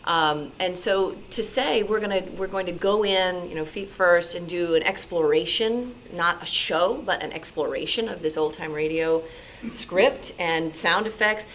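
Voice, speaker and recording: Woman chiming in at a club meeting, lowish voice, bit of room noise. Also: unhurried, a bit bright. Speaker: club member, actor and former teacher. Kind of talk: informal meeting discussion.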